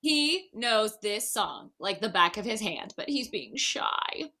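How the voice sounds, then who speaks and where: A woman's voice: medium pitch, sing-song, slow, creaky, sharp tone, full character voice. A woman acting an exuberant, teasing bride-to-be in a screenplay table read. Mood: coaxing. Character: exuberant, teasing bride-to-be showing off her fiancé.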